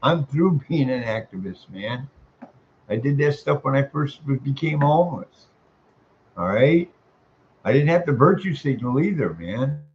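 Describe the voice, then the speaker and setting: An older man with a mid-pitched voice is airing a complaint in informal speech. He sounds aggrieved and emphatic, rambling and circling back over his words. The sound is dull and lo-fi, with faint noise behind him. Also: lively intonation, measured pace, darkish tone. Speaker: caller, tenant making a complaint. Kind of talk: informal phone complaint, venting.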